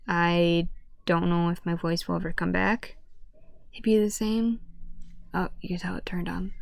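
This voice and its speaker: A woman with a hoarse, deep voice that often drops to a whisper, talking casually to camera in a halting way. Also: dry recording. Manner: halting, with restarts and stutters. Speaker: vlogger.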